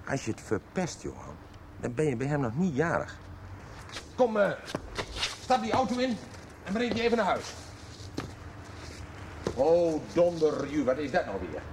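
An actor playing a gruff, commanding crook in a radio soap, stern and threatening in manner. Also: male voice, a little high, wildly swooping pitch, a little slow, long pauses. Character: bossy, gruff male crook threatening a nervous accomplice. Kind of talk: 1990s Dutch radio soap acting. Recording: faint background noise.

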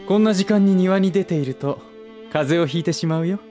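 An actor playing a scheming businessman in a Japanese voice drama, speaking politely and gently with teasing condescension. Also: sits high, fairly quick, soft background music, natural voice. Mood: teasing concern. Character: smooth, scheming adult male relative and businessman, teasing.

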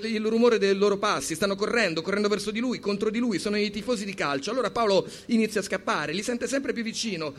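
A man narrating a story as a dramatic reading, with an urgent, theatrical delivery. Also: high voice. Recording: clear background noise.

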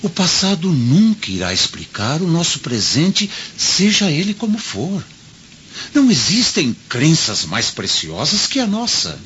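A man playing a sensible Roman senator and concerned older friend in a radio drama, with an expressive, emphatic delivery. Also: fairly high pitch, measured pace, exaggerated voice. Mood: firm, dismissive. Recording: dull, noisy lo-fi radio drama recording.

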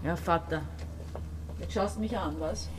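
A woman's voice: lowish voice, measured pace, over music, natural voice. An actress playing an embittered, domineering woman around forty with an Austrian accent, emphatic and reproachful in a radio drama. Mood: irritated, accusing. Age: 40s. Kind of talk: German-language radio drama.

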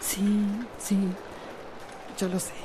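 A woman's voice: mid-range voice, level tone, drawn-out words, noisy background. A Costa Rican woman playing an anxious, fragile woman in a radio drama, faltering and pleading. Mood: distressed, anxious. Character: anxious, fragile woman facing her abusive past.

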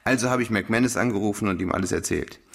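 An actor playing a wary, wry police lab technician with a dry, guarded manner in a German radio crime drama. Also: male voice, fairly low pitch, fast talker, creaky, husky.

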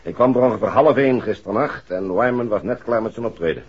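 A man's voice: fairly low pitch, quick pace, breathy edge. An actor playing a weary, frank American businessman's agent in a 1960s radio play, blunt but polite. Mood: exasperated. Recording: muffled old 1960s radio recording.

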